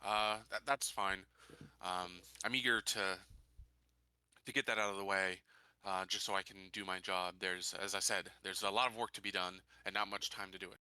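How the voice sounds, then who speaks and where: A man playing an earnest, dutiful colony official in a tabletop game, reporting in a formal, halting, businesslike way. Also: low-pitched, steady tone, sharp tone, smooth, no echo.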